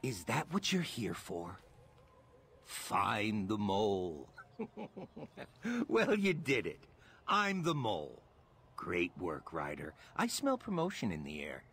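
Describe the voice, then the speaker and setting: Gravelly, defiant rebel scientist. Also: male voice, lowish voice, huge pitch swings, slow pace, pauses often, fairly bright tone, full character voice. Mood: bitter sarcasm. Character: intense rebel scientist, defiant mentor to a young recruit. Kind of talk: dramatic video game dialogue.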